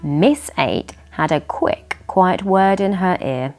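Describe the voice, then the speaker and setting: A British woman in her thirties reading a storybook to young listeners in an animated way, indoors in a living room. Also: on the low side, takes it slowly, soft background music. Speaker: children's story reader and host. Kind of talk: expressive children's storybook reading. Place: furnished living room by a fireplace.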